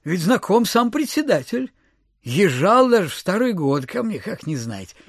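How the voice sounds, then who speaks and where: An actor playing a miserly, suspicious old landowner in an audio drama, muttering fussily and breaking into grumbles and exclamations. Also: male voice, high voice, very animated, normal speed, dry recording, studio quality, heavily acted. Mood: excited recollection. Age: older. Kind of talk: theatrical audio drama acting.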